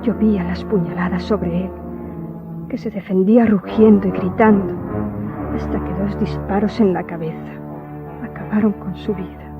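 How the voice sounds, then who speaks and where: A grieving daughter voiced by a woman narrates in a radio drama, solemn and measured. The track is slightly muffled, with clear music behind her. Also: mid-range voice, steady tone, close to an everyday voice. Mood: solemn, tense.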